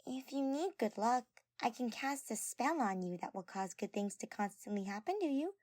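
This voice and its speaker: A woman performs a small, sleepy teenage girl who takes her magic very seriously. The voice is high and low-key, hesitant and shy. It sounds close and dry, like an audio story. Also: animated, moderate pace, darkish tone, dry recording. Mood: solemn, low-key.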